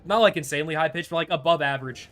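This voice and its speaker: Laid-back young man, fairly high voice. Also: rapid-fire, fairly bright tone, slight background noise. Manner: laid-back, chuckling, swears casually. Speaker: streamer. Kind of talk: casual live gameplay commentary.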